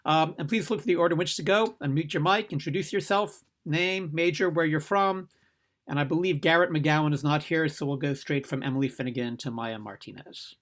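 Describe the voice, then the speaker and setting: A man hosting a formal induction ceremony over a video call, reading in a measured, solemn way. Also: a little high, a bit bright. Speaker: ceremony host.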